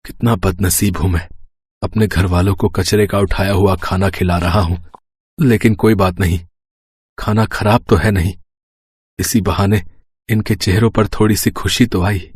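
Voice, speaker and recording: A man playing a poor, caring village working man in a cartoon story, earnest and plaintive, with a husky voice. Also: low-pitched, steady tone, moderate pace, darkish tone, no room sound, studio quality. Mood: sad, resigned. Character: poor, self-pitying, caring young rickshaw man.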